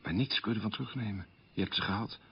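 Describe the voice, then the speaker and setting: Deep, husky, guilt-ridden middle-aged man. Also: racing, full character voice. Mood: remorseful. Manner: earnest, self-reproaching, reflective. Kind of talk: radio drama monologue, confessional. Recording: dull, muffled old radio recording.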